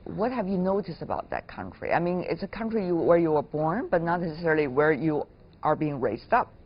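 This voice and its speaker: A woman hosting an interview, putting questions in a measured, deliberate conversational way. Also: low voice, sing-song. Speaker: interviewer, host. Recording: dull lo-fi sound with clear noise.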